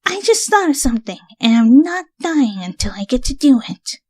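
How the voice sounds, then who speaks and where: A woman voicing a brash, eager tomboy cartoon pony with a cocky, excitable manner. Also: high-pitched, normal speed, dry recording, voice pushed up. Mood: excited.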